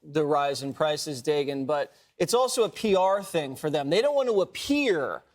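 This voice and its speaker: A man on a cable news panel, explaining a point in a measured way. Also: a little high, very animated. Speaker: TV panel commentator.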